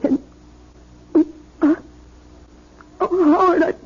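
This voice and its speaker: An actress playing a fragile, distraught young bride in a 1970s radio drama, halting, tremulous and melodramatic. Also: female voice, very high-pitched, fairly even intonation, heavily acted. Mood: anguished, despairing. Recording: dull old radio recording.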